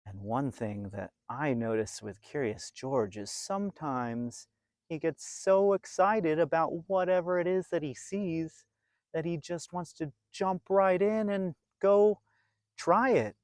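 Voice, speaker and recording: A storyteller reading a picture book aloud to young children in an expressive, animated way with dramatic pauses. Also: mid-range voice, no echo, clean studio sound.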